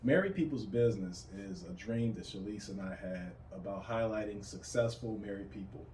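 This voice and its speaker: A man's voice: on the low side, moderate pace, darkish tone, distant mic. A male podcast host in a casual interview style, heard in a roomy space. He sits back from the mic, and there is faint noise behind him.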